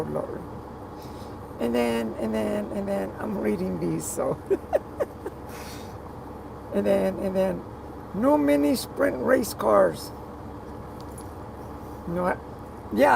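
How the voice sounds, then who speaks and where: A live streamer with a rough, gravelly voice, chatting casually and rambling while reading viewers' comments aloud and repeating words. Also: medium pitch, takes it slowly, long pauses, background noise.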